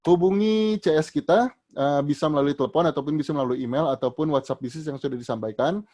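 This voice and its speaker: A male trainer answers questions from an audience during an online session. He talks in a loose, explaining way, full of fillers and restarts, and his voice jumps higher and louder whenever he starts a new answer. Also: quick pace.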